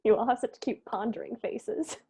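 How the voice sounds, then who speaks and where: A woman's voice: on the high side, lively intonation, moderate pace, dark tone, husky. A woman leading a cast meeting in casual, rambling talk with an informal, jokey manner. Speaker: show creator leading a cast table-read meeting. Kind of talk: casual chat.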